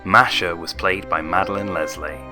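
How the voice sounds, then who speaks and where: Low-voiced male announcer over music. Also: fairly even intonation, moderate pace. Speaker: announcer reading credits. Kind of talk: scripted narration. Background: violin music.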